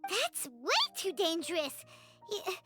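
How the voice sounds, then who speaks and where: Brief: a shrill little fairy sidekick, whiny and exclaiming, with game music. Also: female voice, wildly swooping pitch, slow, full character voice. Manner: talks about herself in third person, whiny and exclaiming. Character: tiny floating female fairy companion, chatty and excitable. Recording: game audio with loud music.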